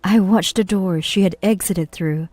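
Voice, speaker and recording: A woman's voice: mid-range voice, a little slow, mellow, no echo. A woman reading scripted first-person story narration.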